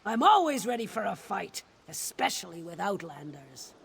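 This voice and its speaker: A woman's voice: medium pitch, very animated, normal speed, gravelly, slightly rough, heavily acted. An actress playing a tough female orc hunter in a video game, taunting and challenging. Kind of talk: video game character acting.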